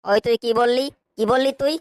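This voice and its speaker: A woman's voice: fairly high pitch, steady tone, very noisy, lightly acted. A woman voicing a bad-tempered, bullying schoolteacher who scolds and fires questions in a comic cartoon. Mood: angry scolding. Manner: scolding, hectoring, rapid questioning.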